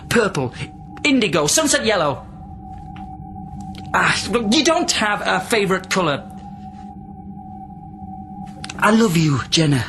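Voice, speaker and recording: A man's voice: high voice, a bit bright. A man acting in a radio drama as a volatile captive from centuries past, probing and rambling, swinging between tender and menacing. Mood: goading, agitated.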